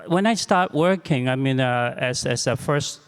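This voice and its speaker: A man in his sixties with a Chinese accent, a clinician on a panel, speaking in a halting, humble and reflective way, often repeating words. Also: medium pitch, clean tone, long reverb tail. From China. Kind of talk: panel discussion.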